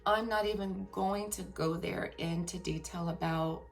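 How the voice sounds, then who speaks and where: Frank, gravelly-voiced woman vlogger. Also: on the low side, steady tone, unhurried, soft background music. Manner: emphatic, frank, keeps checking in with viewers. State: voice getting raspy after a long workday. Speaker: vlogger telling a personal story. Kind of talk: candid personal storytelling to viewers.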